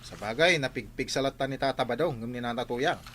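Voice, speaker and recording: A man with an Ilocano accent playing a nosy, excitable village fellow in a comedy radio drama, full of questions. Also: medium pitch, quick pace, slight background noise, natural voice. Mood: nosy, excited. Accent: Ilocano, northern Philippines.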